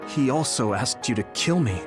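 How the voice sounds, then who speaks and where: An actor playing a gentle, sincere young soldier hero in a video game. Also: male voice, mid-range voice, expressive, moderate pace, music in the background, close to an everyday voice. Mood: puzzled. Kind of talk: English video game dub acting.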